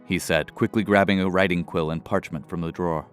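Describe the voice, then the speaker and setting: A man's voice: low voice, steady tone, a little fast, faint music behind. A man narrating a scripted fantasy audio drama as its storyteller.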